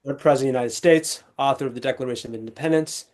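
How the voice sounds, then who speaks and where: A young man from Texas, a legal scholar, speaking as a guest in an interview, articulate and measured with small restarts. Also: mid-range voice, darkish tone. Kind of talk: conversational interview storytelling.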